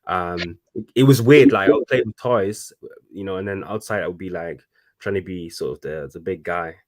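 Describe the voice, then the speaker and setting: A man's voice: sits low, normal speed. A man in his thirties from Congo, a fashion designer talking casually and animatedly as a guest, with lots of restarts. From Congo (DRC). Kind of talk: casual conversation.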